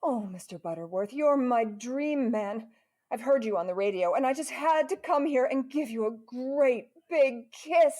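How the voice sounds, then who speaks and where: Starstruck young fan, high and soft, all gushing. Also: female voice, lively intonation, a little slow. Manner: breathless gushing delivery. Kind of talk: old-time radio comedy recreation.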